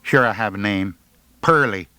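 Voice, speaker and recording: A man voicing a cute but sullen, sharp-tongued teenage girl with a curt, sarcastic manner in an audiobook. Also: lowish voice, normal speed, smooth, no room sound.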